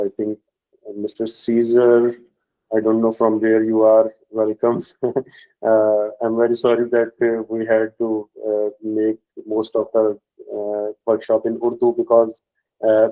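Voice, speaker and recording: A man explaining software deployment steps in a workshop, pausing often with fillers. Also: fairly low pitch, completely flat delivery, dull audio. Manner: hesitant, many filler pauses. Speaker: workshop instructor.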